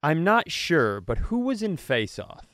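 A man co-hosting a comedy podcast, rambling deadpan through offbeat questions in casual chat. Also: fairly high pitch, a little slow, dry recording, clean studio sound. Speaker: podcast co-host.

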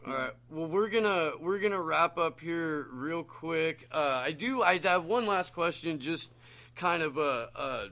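A man's voice: on the high side, animated, measured pace, pushed and bright. A man interviewing a guest, casual and agreeable, swearing and hesitating with fillers. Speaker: podcast interviewer.

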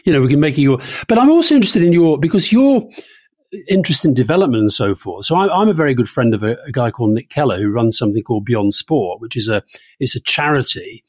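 A man co-hosts a relaxed political podcast in a chatty, teasing way. He stumbles over repeated words while he thinks. His voice sits at a middle pitch, and the recording is dry and close. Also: quick pace, dry recording. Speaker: podcast co-host. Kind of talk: casual political chat.

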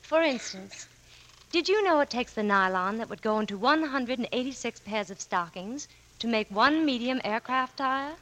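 A woman's voice: fairly high pitch, animated, moderate pace, fairly bright tone. A woman reading a scripted 1940s radio commercial as a spokeswoman, chatty, earnest and polished.

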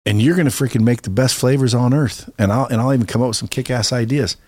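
A man's voice: medium pitch, fast talker, a little mellow, no room sound. A man talking casually as a podcast guest and entrepreneur, blunt and self-assured, with a salesman's way of putting things and some mild swearing.

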